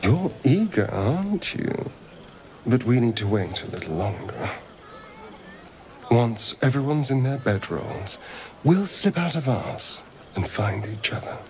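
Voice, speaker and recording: An actor playing a confident, seductive lover in a video game, speaking in a teasing, conspiratorial way. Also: male voice, medium pitch, very animated, measured pace. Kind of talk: video game character dialogue.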